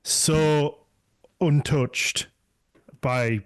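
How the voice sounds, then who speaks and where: A man's voice: on the high side, steady tone, drawn-out words, clean tone, dry recording. A man hosting a podcast in casual chat, rambling and trailing off mid-thought. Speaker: podcast host.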